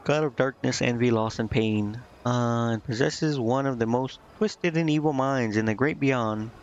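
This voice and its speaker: A man casually commentating a game live and reading its text aloud, thinking out loud in a rambling way and stumbling over unfamiliar words. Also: mid-range voice, normal speed, highs cut off. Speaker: game streamer.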